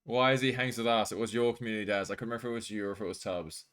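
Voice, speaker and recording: A man's voice: lowish voice, fairly even intonation, very fast, a bit bright. An Australian man live streaming, chatting casually and jokily with viewers as he reads out their messages.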